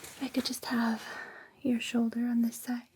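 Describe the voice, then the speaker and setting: A woman performing a whispered ASMR roleplay, gentle, soothing and unhurried, close on a binaural microphone. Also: fairly high pitch, completely flat delivery, noisy background.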